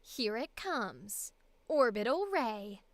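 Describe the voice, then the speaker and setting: A woman's voice: a little high, big pitch swings, dry recording. A woman voicing a cold, condescending villain. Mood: cold, menacing. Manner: slow, commanding, theatrical delivery.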